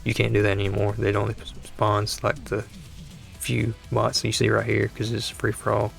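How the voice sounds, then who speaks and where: Gravelly, hoarse man venting about a game. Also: on the low side, monotone, normal speed, faint music behind. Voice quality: gravelly, creaky voice. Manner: rambling, exasperated venting with restarts. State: dry throat, voice going hoarse. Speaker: gaming channel host. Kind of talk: casual commentary over gameplay.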